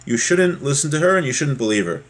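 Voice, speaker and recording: A man's voice: medium pitch, very animated, quick pace. A man giving an informal religious lesson, explaining a text in a plain-spoken, conversational way with asides. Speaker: religious teacher giving a class.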